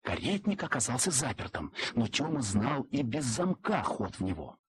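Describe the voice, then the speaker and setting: A man's voice: mid-range voice, sing-song, normal speed, fairly bright tone, breathy edge, no room sound. A man narrating a literary children's story in a dramatic audio play style.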